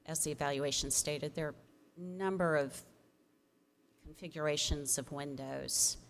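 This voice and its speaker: A woman staff planner reading a formal report aloud at a public hearing in a measured, procedural way. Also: low voice, steady tone. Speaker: staff planner presenting a case. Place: public commission meeting room. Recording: noisy public meeting room microphone.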